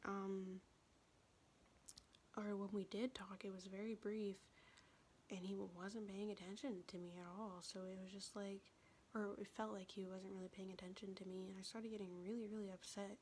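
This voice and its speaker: A young woman telling a personal story in a soft, confessional whisper, vlog style. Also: medium pitch, level tone, moderate pace, a little hiss or hum.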